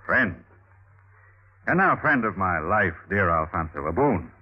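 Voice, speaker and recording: Deep, swooping, scheming Renaissance nobleman. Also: big pitch swings, a little slow, heavily acted. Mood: courtly charm. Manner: courtly, theatrical. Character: scheming, falsely friendly Renaissance nobleman plotting murder. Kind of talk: 1950s radio drama acting. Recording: muffled narrow-band old radio recording.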